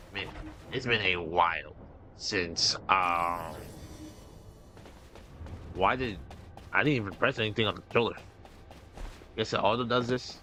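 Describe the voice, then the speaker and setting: A man's voice: lowish voice, sharp tone, slight background noise. A man giving casual gameplay commentary with loose, slangy banter. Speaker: gaming streamer.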